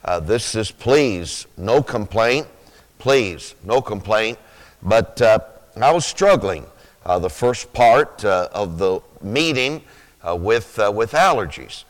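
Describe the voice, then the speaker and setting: A man in his sixties with a rough, sleepy-sounding voice, preaching a sermon slowly, with many pauses, then rising with fervent emphasis. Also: fairly low pitch, animated. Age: 60s. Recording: reverberant hall.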